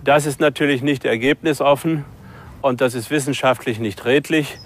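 A man's voice: mid-range voice, fairly even intonation, normal speed, slight background noise. A man, a professor in an interview, making his argument in formal academic language.

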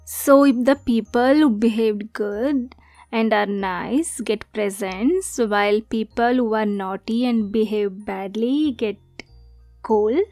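A woman's voice: fairly high pitch, slow, a little mellow, no echo, soft background music. A woman playing a sweet, naive but possessive mermaid girlfriend in an intimate ASMR roleplay, coy and often slipping toward a whisper. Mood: curious, naive.

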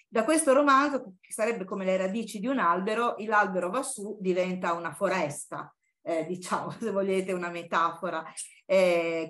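A woman's voice: mid-range voice, fairly bright tone. A woman in her sixties introduces a guest speaker at an informal lecture in a classroom, digressing and thinking aloud as she goes. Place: university classroom.

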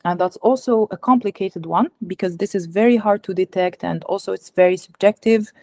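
A young woman whose first language is Algerian Arabic gives an academic research talk over a video call, pausing often with fillers and restarts. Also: medium pitch, warm tone, dry recording, clean studio sound. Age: young adult. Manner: hesitant, many fillers and restarts. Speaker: guest speaker presenting research. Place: home room, indoors. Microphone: video call webcam.

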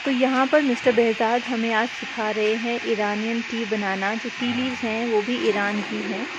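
A woman's voice: fairly high pitch, steady tone, moderate pace, muffled recording, very noisy. A woman in her thirties giving a chatty, enthusiastic vlog voice-over, mixing in English phrases. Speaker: vlogger. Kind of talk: casual vlog narration, Hindi mixed with English.

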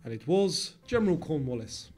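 A male quiz narrator with a fairly high, very mellow voice. He reads scripted questions in a measured way. Faint music plays behind him. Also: sing-song.

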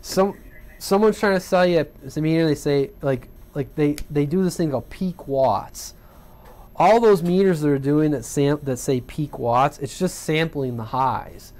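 A man's voice: fairly high pitch, a little slow, a little mellow. A man giving a casual, rambling tutorial at his home radio workbench, often correcting himself and checking in with "right?". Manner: rambling, self-correcting, conversational with frequent "right?". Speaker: CB radio hobbyist demonstrating equipment.

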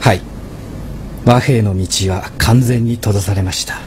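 An actor playing a dutiful, level-headed mafia aide in an audio drama, reporting formally and in measured phrases. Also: male voice, on the low side, fairly even intonation, a little mellow, a little hiss or hum, natural voice. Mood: sober. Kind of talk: Japanese drama CD acting.

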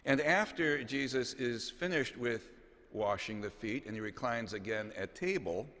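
Slow, solemn male bishop in echoing cathedral. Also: medium pitch, expressive, a bit bright. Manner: measured, solemn, deliberate phrasing with pauses. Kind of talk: homily, read from notes. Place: large cathedral, at the pulpit. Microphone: gooseneck pulpit microphone. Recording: long cathedral reverb.

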